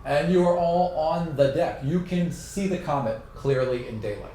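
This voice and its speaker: A man's voice: on the high side, moderate pace, dark tone. A man narrating an improvised tabletop game as game master, shifting between vivid storytelling and casual banter. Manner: dramatic descriptive storytelling mixed with casual banter and swearing. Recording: roomy echo, clear background noise.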